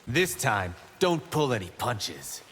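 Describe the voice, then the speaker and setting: An actor playing a cocky, brash young martial-arts monk trading boastful, teasing banter in a video game. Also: male voice, medium pitch, very animated, slow. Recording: reverberant game audio with background noise.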